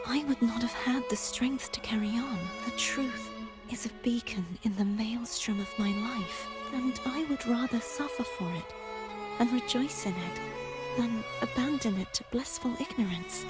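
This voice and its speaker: A woman voicing a young noble game heroine, resolute and sorrowful, with formal, deliberate phrasing. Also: on the high side. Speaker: game character voice. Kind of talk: earnest dramatic game dialogue. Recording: loud orchestral violin music behind.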